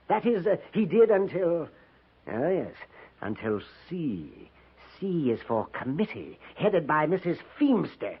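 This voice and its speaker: A man's voice: high-pitched, breathy edge, heavily acted. A man playing a fussy, nervous army sutler in a 1950s western radio drama, with an American accent and a dithering, florid, melodramatic delivery. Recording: muffled vintage 1950s radio recording.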